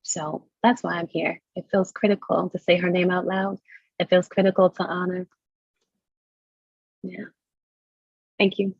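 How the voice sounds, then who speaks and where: A woman's voice: lowish voice, flat delivery, unhurried, vocal fry, long pauses, darkish tone, dry recording. A woman taking part in a reading, giving reflective, informal remarks on a dull, muffled recording. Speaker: reader, event participant.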